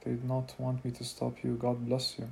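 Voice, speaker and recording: A man's voice: medium pitch, completely flat delivery, normal speed, gravelly, a little hiss or hum, lightly acted. A man voicing a wary, hesitant male militia sentry.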